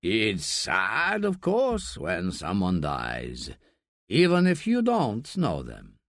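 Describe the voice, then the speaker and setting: A man voicing a volatile, secretive older father, talking slowly and deliberately. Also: fairly low pitch, very animated, no room sound, clean studio sound, full character voice. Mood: evasive.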